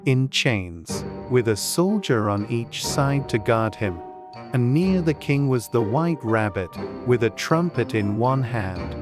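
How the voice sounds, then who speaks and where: A man reading scripted storybook narration as an audiobook narrator. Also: mid-range voice.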